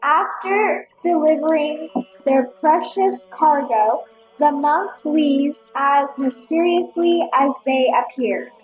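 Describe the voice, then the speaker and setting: A woman giving measured, scripted narration for an audio play. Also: high voice, soft background music, poor audio.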